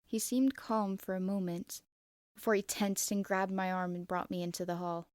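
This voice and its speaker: A woman reading fan fiction aloud as expressive first-person narration. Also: mid-range voice, moderate pace, no room sound, clean studio sound.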